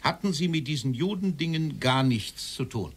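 An actor playing a stern interrogator in a documentary radio drama, formal and probing in manner. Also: male voice, on the high side, animated, moderate pace, breathy edge. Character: stern male interrogator questioning a Nazi-era official.

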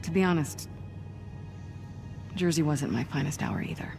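A woman's voice: low-pitched, moderate pace, vocal fry, darkish tone, soft background music. A woman playing a tough but reassuring spy hero in a video game, wry and no-nonsense. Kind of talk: video game cutscene acting.

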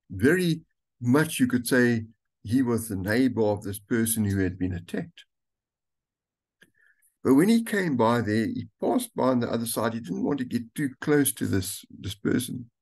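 An older man, a pastor, reading scripture aloud and preaching a sermon slowly and deliberately from a room at home. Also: mid-range voice, a little mellow. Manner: slow, deliberate reading with stretched words. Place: home room with curtains, indoors.

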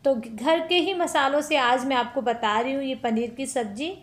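A woman's voice: sits high, normal speed. A woman hosting a cooking channel, chatting step by step through a recipe in a home kitchen and calling her viewers friends.

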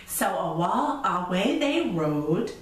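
A middle-aged woman tells a story to children with asides to them. Her delivery is unhurried and swings widely in pitch. She sounds set back from the microphone in a room. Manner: expressive, addressing children directly. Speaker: storyteller and children's show host. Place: indoor room with chalkboard backdrop. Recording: echoey room from a distance, faint noise.

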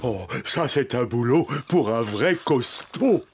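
Slow, bumbling big elephant, swooping pitch. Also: male voice, lively intonation. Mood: sheepish, straining. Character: big strong clumsy male elephant, good-natured and bumbling. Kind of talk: children's cartoon dub. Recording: music behind the voice.

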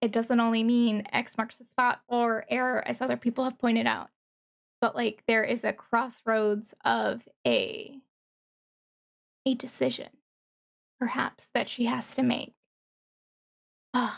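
A woman giving casual, excited reaction commentary as a streamer, thinking aloud haltingly with interjections. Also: fairly high pitch, creaky. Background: music from the watched video.

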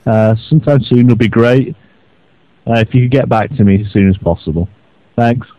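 A man's voice: medium pitch, normal speed, no echo. A man leaving a casual, rambling voicemail in a hesitant, mock-earnest way. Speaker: voicemail caller. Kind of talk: casual rambling phone message. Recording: muffled internet voicemail, very dry.

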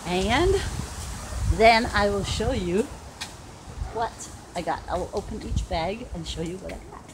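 A woman's voice: mid-range voice, very noisy. An older woman chatting casually as she unpacks her shopping bags at home, rambling and cooing at her dogs. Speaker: vlogger. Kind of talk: casual haul vlog. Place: home porch and carpeted room.

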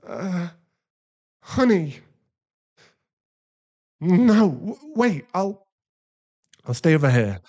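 A man playing a distraught, guilt-ridden werewolf boyfriend in an intimate ASMR roleplay, halting and pleading. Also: sits high, very animated, dark tone, lo-fi sound, exaggerated voice. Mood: shocked, anxious. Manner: halting, pleading, emotionally fragile.

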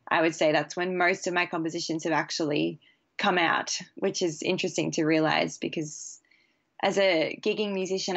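A young woman, a bassist chatting casually as a podcast guest in a relaxed, easy way. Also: vocal fry, highs cut off. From Australia. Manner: relaxed, chatty, laughs easily. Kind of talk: casual interview chat.

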